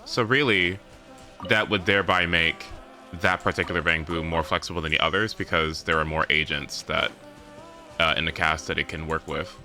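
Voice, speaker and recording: A man with a low, very forceful and crisp-edged voice talks casually on a game stream. He rambles and thinks aloud, swearing freely, over game music. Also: fairly even intonation, moderate pace. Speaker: livestreamer. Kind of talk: casual gaming stream chat.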